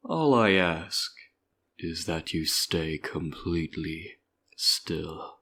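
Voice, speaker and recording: A man's voice: deep voice, expressive, extremely slow, fairly bright tone, a little hiss or hum, full character voice. A man playing an arrogant, suave and menacing vampire tyrant in an ASMR roleplay, talking in a theatrical, formal, mocking manner. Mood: threatening. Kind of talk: scripted ASMR villain roleplay. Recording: echoing voice over faint music.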